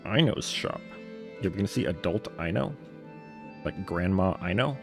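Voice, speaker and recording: A man's voice: low voice, lively intonation, normal speed, creaky. A man giving casual, offhand, wry reactions as he live-streams a video game playthrough. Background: game music.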